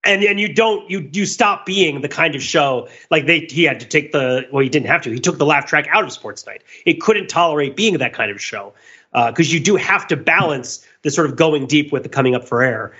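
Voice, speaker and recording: A man's voice: a little high, animated, fairly quick, pushed and bright, breathy edge, highs cut off. A man co-hosting a podcast in casual discussion, talking discursively and digressing as he searches for words. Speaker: podcast co-host.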